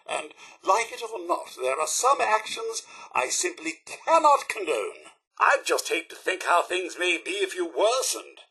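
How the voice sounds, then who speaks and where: Male robot butler, British accent, raspy edge, very animated intonation, polite, fretful, devoted, theatrical game dialogue. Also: fairly high pitch, exaggerated voice. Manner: formal, polite, theatrical and deferential. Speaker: robot servant companion. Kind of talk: video game character dialogue.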